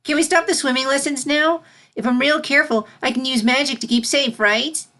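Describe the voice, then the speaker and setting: Woman putting on a high-pitched, excitable little filly unicorn. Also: brisk. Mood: eager. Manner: pleading, argumentative, excitable. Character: eager, whiny little filly unicorn pupil.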